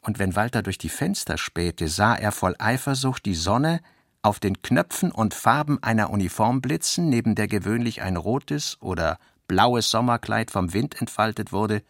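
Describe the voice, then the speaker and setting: A man narrating a literary radio play in a measured, expressive storytelling manner. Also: fairly low pitch, no room sound, studio quality.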